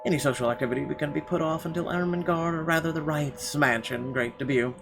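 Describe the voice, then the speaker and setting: A man's voice: on the high side, fast talker, vocal fry. A man hosting a gaming video, reading a visual novel's narration aloud. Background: game music.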